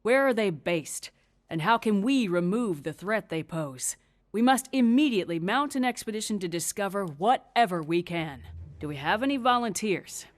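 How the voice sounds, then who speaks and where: An actress playing a composed, authoritative leader in a video game, speaking in a formal, measured way. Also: female voice, medium pitch, animated, close to an everyday voice. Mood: grave, urgent. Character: composed, authoritative female leader addressing an assembly. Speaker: leader chairing a war council. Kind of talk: video game cutscene acting, formal address.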